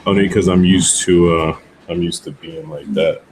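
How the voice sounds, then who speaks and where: American guy on a podcast, low voice, slangy casual talk. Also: steady tone, normal speed, a little mellow, slight background noise, rough recording. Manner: slangy, informal. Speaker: podcast guest. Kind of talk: casual chat.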